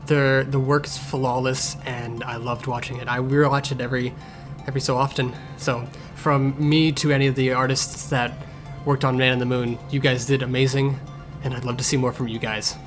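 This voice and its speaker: Man acting as grumpy male cartoon cat, deadpan, sarcastic, blunt, music playing behind. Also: medium pitch, moderate pace, close to an everyday voice. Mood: sincere, approving. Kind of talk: improvised-sounding voice-acted fan Q&A.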